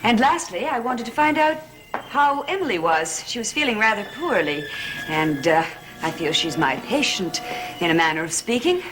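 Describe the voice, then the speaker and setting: A woman's voice: mid-range voice, very animated, normal speed, close to an everyday voice, rough recording. An actress playing a poised, secretive married woman in a gothic soap opera, with polite, formal and guarded speech. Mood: politely probing. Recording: old TV soundtrack with dramatic music.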